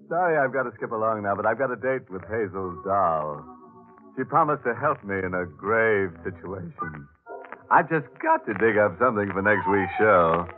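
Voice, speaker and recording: A gleefully ghoulish host of a 1940s radio horror show. He is sly and teasing, stretching words with wide swings in pitch. The sound is old and narrow, with music behind. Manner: sly, drawn-out, chuckling.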